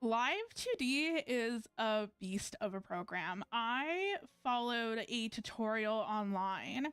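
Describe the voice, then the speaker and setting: High, clear-voiced woman streaming. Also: animated, slow pace, a bit bright, no echo, soft background music. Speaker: VTuber game streamer. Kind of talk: casual livestream chat while gaming.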